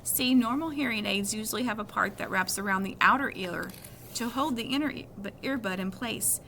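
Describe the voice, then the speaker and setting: A woman's voice: mid-range voice, brisk, sharp tone, a little hiss or hum. A woman reading a children's novel aloud in a storytelling style as a first-person narrator.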